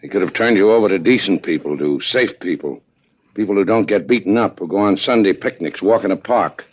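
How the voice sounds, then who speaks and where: An actor playing a tough, stubborn boat skipper in a 1950s radio drama, terse and worn out with pain from a beating. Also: male voice, sits low, brisk, slightly rough. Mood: gruff, dry. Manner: terse, hard-boiled. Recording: dull vintage 1950s radio recording.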